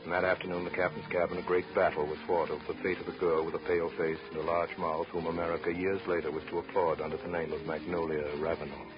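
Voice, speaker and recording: Deep even guy narrating old radio play, music behind, muffled vintage recording. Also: quick pace, few pauses. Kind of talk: scripted 1930s radio drama narration.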